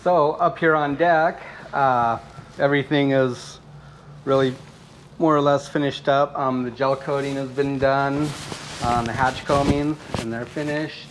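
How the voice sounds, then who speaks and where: A man in his thirties talks through his boat refit in a relaxed, plainspoken way, often pausing with ums. His voice bounces around an echoey hull, and faint noise sits underneath. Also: mid-range voice. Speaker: boat owner showing a refit. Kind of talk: casual walkthrough video. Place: inside a fiberglass boat hold and on deck.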